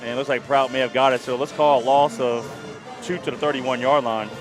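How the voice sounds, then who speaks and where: A man calling play-by-play for a high school football game, openly rooting for the home team. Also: fairly even intonation, a little fast. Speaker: play-by-play announcer. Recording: music in background.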